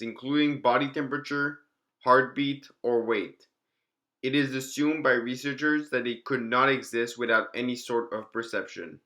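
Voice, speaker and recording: A man with a mid-range voice narrates a scripted document. His reading is formal and measured, in the style of a clinical report read aloud. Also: medium pitch, a bit bright.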